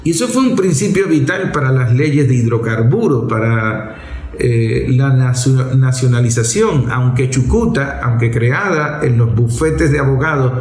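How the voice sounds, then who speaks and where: A man's voice: mid-range voice, measured pace, a little mellow, reverberant. A man with a Venezuelan accent delivers a political commentary monologue as a show host, explaining didactically in short, emphatic, repeated phrases.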